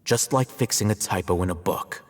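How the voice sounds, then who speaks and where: An actor playing an aloof, self-assured young male scholar in a video game, measured and dryly matter-of-fact. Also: low-pitched, a little hiss or hum, lightly acted. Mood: dryly disapproving. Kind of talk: video game character dialogue. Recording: long reverb, music behind the voice.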